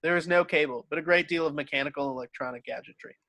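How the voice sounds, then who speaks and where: Higher-pitched male script narrator. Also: racing, sharp tone, dry recording. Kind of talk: scripted narration, reading stage directions.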